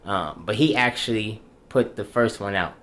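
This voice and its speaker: A man's voice: lowish voice, normal speed, fairly bright tone. A twenty-nine-year-old man from Dayton, Ohio, a rapper guest speaking in a thoughtful, easygoing way into a desk mic in a small studio. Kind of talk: casual interview. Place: small studio with backdrop, indoors. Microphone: desk mic on boom arm.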